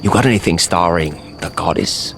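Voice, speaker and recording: A man's voice: deep voice, normal speed, vocal fry, background noise. An actor playing a cocky, flippant mercenary swordsman who banters mockingly in a video game. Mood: breezy mockery. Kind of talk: video game cutscene voice acting.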